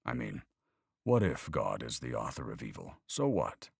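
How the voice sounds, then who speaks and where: A man reading an audiobook, voicing a weary older man who talks in a measured, reflective way. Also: rumbling low, wildly swooping pitch, creaky, breathy edge, no room sound, studio quality, voice pushed down, heavily acted. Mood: challenging. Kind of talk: audiobook character voice.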